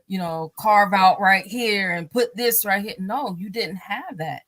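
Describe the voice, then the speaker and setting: A woman's voice: mid-range voice, unhurried, fairly bright tone, no echo. A married woman, a guest on a talk show, speaking casually in a rambling way with many fillers and restarts, and often laughing as she talks. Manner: laughs often while talking.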